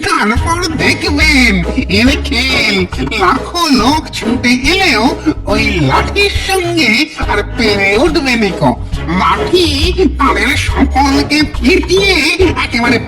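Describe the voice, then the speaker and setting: Slow swoopy cartoon ghost, boastful and folksy, loud music under it. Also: male voice, very high voice, sing-song, full character voice, low-quality recording. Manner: slow, drawn-out, swooping delivery.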